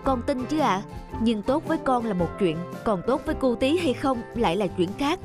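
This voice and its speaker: A woman voicing an earnest, worried young father in an animated moral tale, with a soft delivery. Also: fairly high pitch, moderate pace, music in the background, close to an everyday voice.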